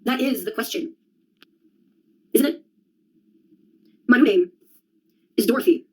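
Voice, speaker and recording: A woman's voice: on the high side, slow pace, warm tone, breathy edge, rough recording. A young adult woman in a rambling solo monologue, sardonic and profane, jumping from one topic to the next. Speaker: vlogger.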